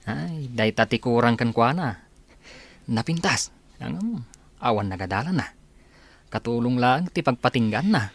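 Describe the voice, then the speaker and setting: A man playing a gossipy, amused servant in a radio comedy drama, husky and halting but animated. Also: mid-range voice.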